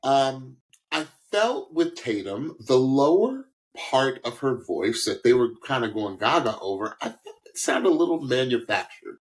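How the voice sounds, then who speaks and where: A man co-hosting a music podcast, giving casual, opinionated commentary on singers in a halting way. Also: mid-range voice, sing-song, low-quality recording. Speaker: podcast co-host, singing critic.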